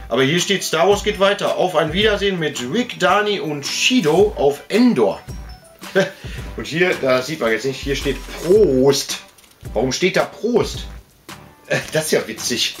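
Guy, chatty rambly vlog talk, medium pitch, faint music. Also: a bit bright. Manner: rambling, chatty, colloquial, self-interrupting. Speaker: video host showing collectibles. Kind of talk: casual vlog chat. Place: indoor room full of collectibles.

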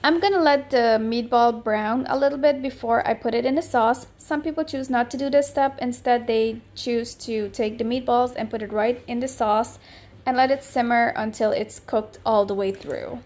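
A woman's voice: on the high side, fairly even intonation, moderate pace, a little mellow. Friendly woman hosting a cooking channel. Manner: friendly, instructive, upbeat. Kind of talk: voice-over cooking tutorial.

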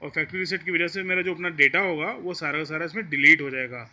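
A man with an Indian accent hosts an informal tech tutorial. His voice sits a little high and is very bright and forceful. He explains each step in turn, often repeating himself. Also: fairly even intonation, fast. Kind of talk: informal hands-on tutorial, mixing Hindi and English.

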